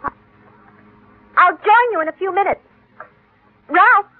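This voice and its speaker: A woman's voice: very high voice, lively intonation, takes it slowly, full character voice. An actress playing a frightened, suspicious young woman in an old radio drama, stammering and urgent. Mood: anxious, alarmed. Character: frightened, suspicious young heiress, near hysterical. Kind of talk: 1940s-50s radio drama acting. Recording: lo-fi old radio recording, faint noise.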